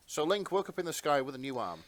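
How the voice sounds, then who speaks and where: A man's voice: a little high, very animated, brisk, background noise. A man narrating a story in a dramatic reading style for an amateur fan fiction performance. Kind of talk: dramatic narration and casual banter.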